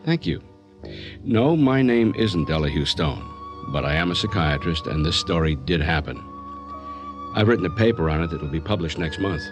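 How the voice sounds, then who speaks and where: An actor playing a composed male psychiatrist who narrates in a measured, clinical manner for a radio drama. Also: sits low, animated, over music. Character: composed male psychiatrist recounting a strange case.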